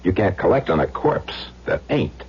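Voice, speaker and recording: Lively low-voiced slangy blackmailer. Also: male voice, moderate pace. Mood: taunting, threatening. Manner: slangy tough-guy talk, taunting. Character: crude blackmailing husband back from presumed death, slangy and menacing. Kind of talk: old-time radio drama acting. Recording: dull lo-fi radio recording, faint hum.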